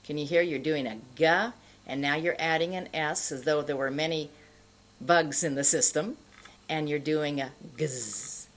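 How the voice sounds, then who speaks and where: A middle-aged woman giving an instructional pronunciation lesson to camera, enunciating deliberately and carefully. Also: sits low, slight background noise. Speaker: pronunciation teacher.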